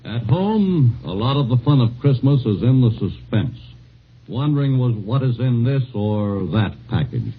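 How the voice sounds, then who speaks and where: A man narrating a scripted wartime radio broadcast as announcer, with a measured, expressive newsreel delivery. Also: medium pitch, breathy edge. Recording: tinny 1940s radio broadcast.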